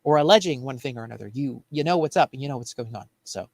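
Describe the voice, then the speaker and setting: A man's voice: mid-range voice, animated, quick pace, no room sound. A man co-hosting a show with earnest, emphatic political commentary, often repeating phrases for emphasis. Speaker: show co-host. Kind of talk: political commentary in casual chat.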